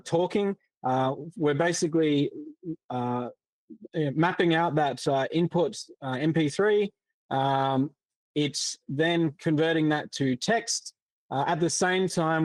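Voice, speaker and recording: A man with a fairly high voice walks through a coding demo in a loose, unscripted way, full of ums and restarts. The sound is dry and close. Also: no echo, low-quality recording. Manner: casual, many fillers and restarts. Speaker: presenter. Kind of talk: explaining a tech demo.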